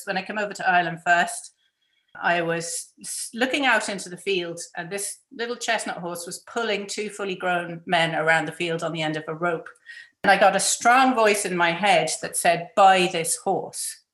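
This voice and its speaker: Woman host telling a story, bit low, roomy echo. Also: fairly bright tone. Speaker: interview host. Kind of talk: casual interview chat. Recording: echoey room.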